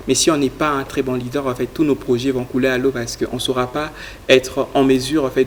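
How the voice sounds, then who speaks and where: Earnest young man, flat even delivery. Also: mid-range voice, slight background noise. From Congo. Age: young adult. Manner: earnest, speaks in a flat even tone with frequent fillers. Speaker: guest, youth leader. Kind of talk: studio interview answers.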